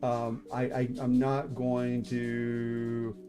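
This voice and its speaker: A man's voice: mid-range voice, completely flat delivery, drawn-out words, over music. A man in his thirties hosting a live stream, chatting casually with viewers, hesitant with many fillers and restarts. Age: 30s. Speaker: VTuber streamer hosting writing critiques.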